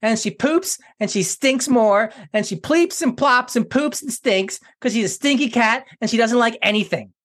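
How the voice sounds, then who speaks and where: A woman voicing an excitable, childish young male monkey in a children's cartoon, giddy and whiny. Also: a little high, moderate pace, few pauses, close to an everyday voice. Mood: cheeky. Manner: giddy, whiny, easily distracted.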